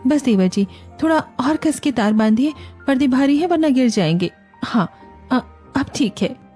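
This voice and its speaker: A woman's voice: high-pitched, animated, unhurried, mellow, soft background music. A woman with an Indian accent voicing a patient, practical eldest daughter-in-law who keeps the peace in a village family cartoon. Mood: confident, practical. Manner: soothing, reassuring, practical.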